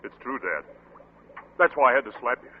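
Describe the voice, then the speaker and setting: An actor playing an earnest, alarmed young adult son in 1940s-style radio drama, imploring and urgent in a melodramatic way. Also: male voice, mid-range voice, sing-song, a little fast, natural voice. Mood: pleading. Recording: old muffled radio recording with heavy noise.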